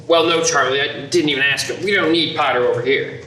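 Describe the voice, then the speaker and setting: Man playing bright, earnest small-town young man. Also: on the high side, a little fast. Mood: firm, pleading. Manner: earnest, emphatic, stammering when flustered. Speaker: actor in a youth group radio play. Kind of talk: radio play acting. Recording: echoey room, faint noise.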